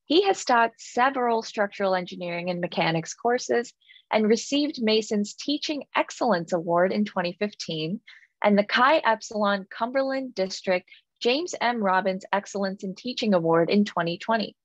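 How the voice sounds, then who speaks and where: A woman's voice: mid-range voice, expressive, moderate pace, no echo. A woman moderating a webinar, reading panelist introductions in a polished, formal manner. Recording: dull, dry webinar audio.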